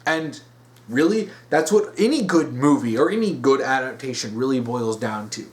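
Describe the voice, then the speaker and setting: A male host talks through his opinions unscripted, in a medium-pitched voice. He speaks emphatically, often halting and restating a point to drive it home. The feel is that of a solo commentary video. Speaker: host of a weekly topic video series.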